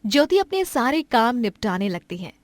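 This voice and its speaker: A woman's voice: a little high, big pitch swings, moderate pace, dry recording. A woman reading scripted narration of a moral bedtime story as a storyteller.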